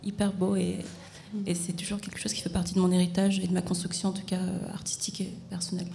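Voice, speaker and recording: A woman's voice: fairly low pitch, level tone, fast, gravelly, warm tone, a little hiss or hum. A woman from France, an artist answering interview questions in a reflective, conversational way.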